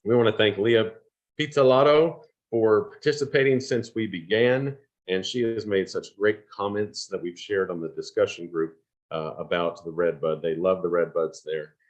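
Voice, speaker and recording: A man's voice: low voice, dull audio. A man hosting an online award announcement, speaking off the cuff in a folksy, appreciative way. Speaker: award program host. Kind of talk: unscripted announcing at an online event.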